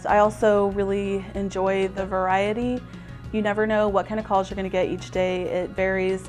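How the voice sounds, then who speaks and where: A woman's voice: medium pitch, level tone, moderate pace, darkish tone. A woman with a mid-range voice explains her work as a police dispatcher in an interview setting. Faint music sits behind her. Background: background music.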